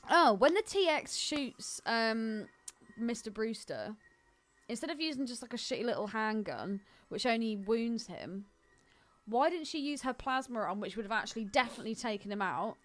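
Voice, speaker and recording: A woman's voice: fairly high pitch, lively intonation, moderate pace, a bit bright, faint music behind. A woman co-hosting a podcast in casual film chat, with a blunt, sweary, opinionated way of talking. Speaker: podcast co-host.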